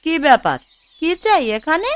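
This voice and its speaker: Casting: female actor for demanding well-to-do cartoon housewife, high-pitched, haughty and boastful. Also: wildly swooping pitch, moderate pace. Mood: scornful. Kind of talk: Bengali cartoon dialogue.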